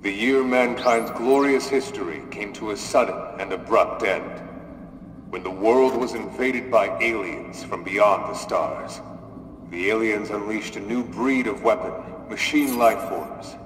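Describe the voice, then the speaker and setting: An actor playing a solemn, authoritative military commander in a video game, narrating a war in measured oratory. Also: male voice, on the low side, lightly acted. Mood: grave, measured. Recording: echoing hall with long reverb, hiss.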